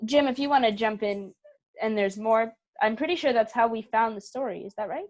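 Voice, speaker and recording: Female interview guest. Slightly high pitch, conversational, searches for words while explaining. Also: fairly quick, darkish tone, dull audio. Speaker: artistic director, interview guest. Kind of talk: casual interview chat.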